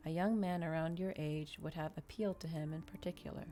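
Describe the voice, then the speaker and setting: A woman reading a novel aloud in a soft, measured ASMR style, close to the microphone. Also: sits low, fairly even intonation.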